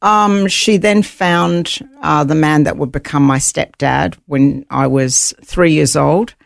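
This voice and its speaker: A woman with a New Zealand accent, a guest telling her life story in an earnest, halting way with many fillers. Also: sits low, creaky, no echo. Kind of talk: personal testimony interview.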